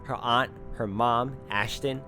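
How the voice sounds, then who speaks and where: Measured male narrator over music. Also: mid-range voice, steady tone. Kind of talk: scripted first-person visual novel narration. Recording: faint music under the voice.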